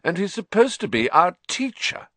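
A man's voice: mid-range voice, huge pitch swings, measured pace, vocal fry, dry recording, voice pushed up, exaggerated voice. A man voicing a sneering teenage bully who drawls derisively.

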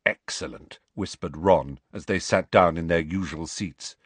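A laid-back teenage boy chats in a casual, sympathetic way, a man doing the voice. The voice stays near the performer's own, low-key and a little husky. It is recorded close and dry. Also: a little slow, no echo. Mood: gleeful whisper.

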